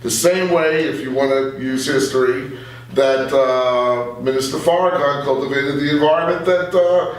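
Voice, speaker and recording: Echoey, distant middle-aged man in interview. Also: mid-range voice. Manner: earnest, halting delivery with repeated phrases. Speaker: radio journalist interview guest. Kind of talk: conversational political interview. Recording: very echoey room, far from the mic.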